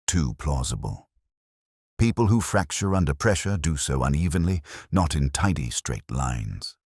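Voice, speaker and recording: A man reading a scripted first-person detective story as narrator, in a measured, reflective, literary manner. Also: a bass voice, no echo, studio quality.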